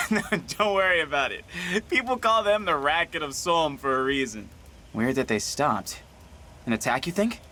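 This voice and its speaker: A man's voice: a little high, expressive, normal speed, pushed and bright, slight background noise. A man playing a breezy, confident, teasing young prince in a video game cutscene, casual and jaunty.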